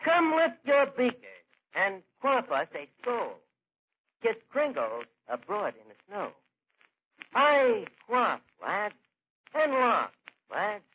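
An actor playing a wisecracking, blustering New York tavern manager in an old-time radio comedy, with slangy, hesitant patter. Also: male voice, high-pitched, huge pitch swings, slow, long pauses, full character voice. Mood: self-important. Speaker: comedy show lead. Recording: dull, noisy old radio recording.

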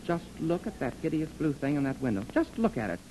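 A man's voice: a little high, big pitch swings, fast, mellow, a little hiss or hum, poor audio. An actor playing a fussy, prissy man who complains theatrically and gets winded easily, in 1930s radio comedy style.

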